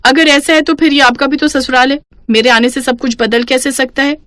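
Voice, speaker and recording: A woman voicing a pampered, stubborn young daughter-in-law in a cartoon story, whiny and quick to talk back. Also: high voice, fairly even intonation, a bit bright, no echo, voice pushed up. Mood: defiant. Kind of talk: Hindi cartoon moral story acting.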